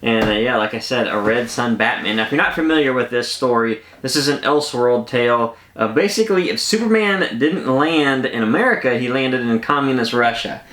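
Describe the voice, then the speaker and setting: A man's voice: mid-range voice, lively intonation, a little fast, hardly any pauses, a bit bright. A man hosting an unboxing video in casual, rambling chat, often interrupting himself. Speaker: host of a collectibles unboxing channel. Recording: echoey room, some background noise.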